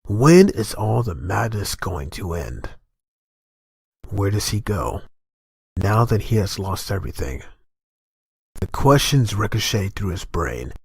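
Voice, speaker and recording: A man giving grave, measured scripted narration for a fan comic dub. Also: on the low side, very animated, creaky, a little mellow, breathy edge, no room sound, clean studio sound.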